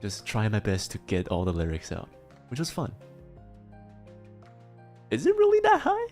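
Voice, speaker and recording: A man streaming a casual chat, his voice raspy and strained, rambling and swearing as he talks. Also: on the low side, wildly swooping pitch, moderate pace, a little mellow, faint music behind. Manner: rambling, swearing, laughing often.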